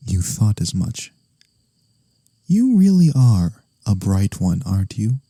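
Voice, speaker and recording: A man acts out a courteous incubus demon in an ASMR fantasy roleplay. The voice is low, very mellow and close, almost without room sound. He speaks slowly and formally, with a gentle teasing edge. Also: big pitch swings, no room sound, full character voice. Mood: calm, grave.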